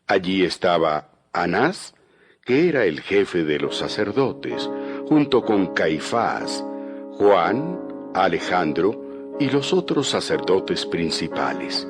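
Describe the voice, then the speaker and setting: Deep, slow male Bible narrator. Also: big pitch swings. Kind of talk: scripted dramatized Bible narration. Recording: music bed behind the voice.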